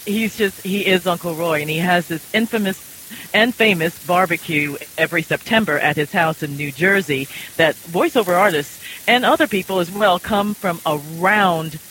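A woman's voice: on the low side, normal speed, fairly bright tone, a little hiss or hum, low-quality recording. A chatty, rambling woman hosting a casual panel chat. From New York, USA. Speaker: podcast panel host.

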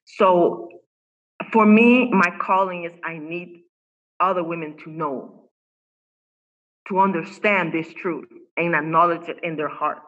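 Woman guest, Spanish as first language, earnest coach, husky tone, muffled call audio. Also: fairly low pitch, a little slow, pauses often, a bit bright. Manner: earnest, emphatic, many fillers and restarts. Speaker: guest, faith-based women's coach. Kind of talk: podcast interview. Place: home in Costa Rica.

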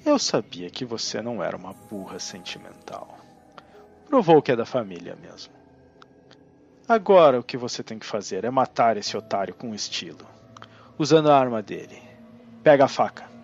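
Man as gravelly, cruel old villain. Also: medium pitch, sing-song, normal speed, pauses often, muffled recording, faint music behind. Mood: gloating. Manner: commanding, contemptuous. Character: cruel, gloating old grandfather, murderous villain. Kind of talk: audio drama acting.

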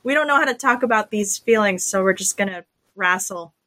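A woman's voice: medium pitch, fairly quick, sharp tone. A woman guesting on a podcast, chatting casually about a film. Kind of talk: casual chat.

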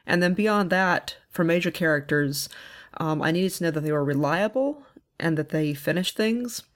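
A woman giving informal spoken advice in a measured, explanatory manner. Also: on the low side, expressive. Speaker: audio fiction producer and contributor.

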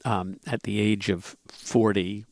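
A man in his seventies talking in a measured, hesitant way with many fillers, as a podcast co-host in casual conversation. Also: on the low side, steady tone, a little mellow, dry recording. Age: 70s.

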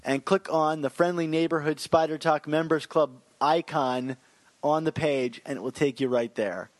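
A man's voice: a little high, normal speed, dry recording. Easygoing man hosting a podcast. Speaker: podcast host. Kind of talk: casual podcast chat.

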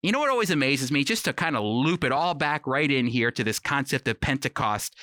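A man's voice: mid-range voice, lively intonation, fairly quick, sharp tone, dry recording, clean studio sound. A man giving conversational, scripted Bible teaching in an earnest, explanatory manner. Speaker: Bible teacher on a radio program.

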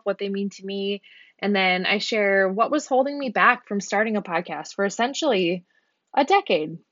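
A woman hosting her own parenting podcast, talking casually with frequent soft laughs and ums. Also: mid-range voice, muffled recording. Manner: relaxed, laughs often, many ums. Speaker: podcast host. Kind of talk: casual solo podcast talk.

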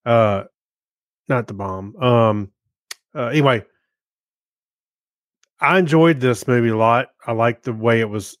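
A man co-hosting a podcast, giving casual reviews in a halting way as he searches for his words. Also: medium pitch, dry recording, studio quality. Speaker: podcast co-host. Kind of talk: casual film review chat.

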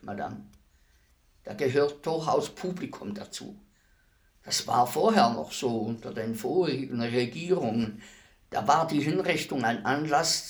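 An actor playing a world-weary, philosophical executioner in a radio play, speaking in a deliberate, brooding, respectful way. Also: male voice, medium pitch. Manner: deliberate, brooding, formally addressing a lady. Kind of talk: radio play acting, slow reflective monologue.

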